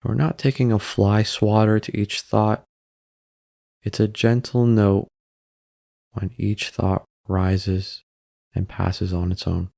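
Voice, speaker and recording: A man narrating a guided meditation in a calm, unhurried way, pausing between short phrases. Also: fairly low pitch, vocal fry, no room sound, clean studio sound.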